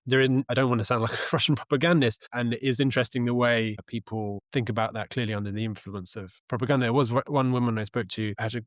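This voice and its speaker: A man speaking as an interview guest, explaining things in a thoughtful, halting, conversational way. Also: medium pitch, fairly even intonation, no room sound, studio quality. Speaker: interview guest, journalist and author.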